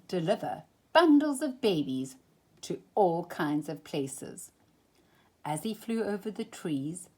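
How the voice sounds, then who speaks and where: A woman storyteller reading a children's storybook aloud, expressive and emphatic, drawing out key words. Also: sits low, husky.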